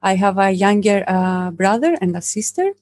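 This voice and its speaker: A woman's voice: medium pitch, lively intonation, normal speed, darkish tone. A woman from Greece with a Greek accent, a podcast guest telling her story with many hesitations and fillers. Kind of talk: casual interview talk.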